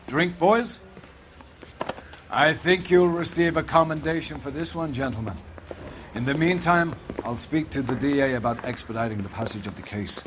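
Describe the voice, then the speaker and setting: A pompous police chief, played by a man with a mid-pitched voice, grandiloquent and pleased with himself. He declaims theatrically in a crime game, with clear noise behind him. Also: lively intonation, moderate pace, close to an everyday voice. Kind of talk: theatrical video game acting.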